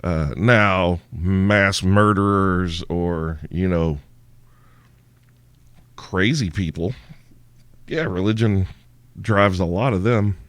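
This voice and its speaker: A man with a very low voice riffs through listener questions, crude and irreverent, rambling from one joke to the next. He sounds sleepy and worn out. The recording is dry and close, with no room sound. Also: steady tone, slow, long pauses, no room sound. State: sleepy, tired. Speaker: podcast host. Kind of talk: crude solo Q&A podcast.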